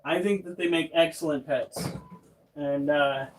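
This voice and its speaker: A man's voice: on the high side, fairly even intonation, unhurried, low-quality recording. A man in his thirties hosting a pet channel, talking casually and bluntly, breaking into profane rants. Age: 30s. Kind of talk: casual monologue.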